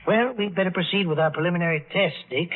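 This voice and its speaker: An actor playing a thoughtful, hopeful professor on a space expedition, speaking in a measured, scholarly way in a 1950s radio drama. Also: male voice, high voice. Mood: hopeful, reflective. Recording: husky-sounding vintage radio, narrow band.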